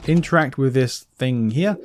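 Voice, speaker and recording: A man's voice: medium pitch, moderate pace, slight background noise. A man giving a casual video game walkthrough, offhand, often correcting himself and slipping in joking asides. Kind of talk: casual gameplay walkthrough commentary.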